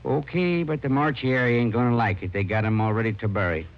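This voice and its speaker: A man's voice: mid-range voice, brisk, heavy background noise, lightly acted. A man acting a defensive, folksy small-town coroner in an old radio drama. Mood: testy. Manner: folksy, blustering. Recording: dull, band-limited vintage radio recording.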